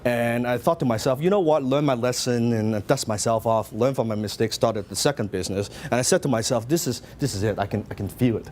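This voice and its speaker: A man's voice: mid-range voice, brisk, hardly any pauses, a little mellow, slight background noise. A middle-aged man from Hong Kong with a Hong Kong Cantonese accent, giving a motivational seminar talk and telling his story with lots of questions to the audience. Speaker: business speaker.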